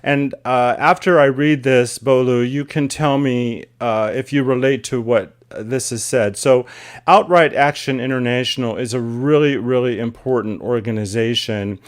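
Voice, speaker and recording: A man's voice: mid-range voice, normal speed, clear, resonant tone. A man hosting an interview show over a video call, asking questions and reading a statement aloud with hesitant fillers. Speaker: talk show host.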